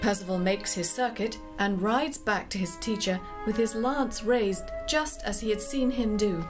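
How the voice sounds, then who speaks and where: A woman narrator telling a scripted story in a measured, poetic storytelling manner. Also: medium pitch, over music.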